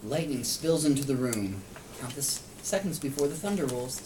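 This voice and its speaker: Animated man reading to a child, distant mic. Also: mid-range voice, normal speed. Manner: explains and asks simple questions for a child. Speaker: read-aloud storyteller to a young child. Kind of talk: interactive picture-book reading. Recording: distant mic, clear background noise.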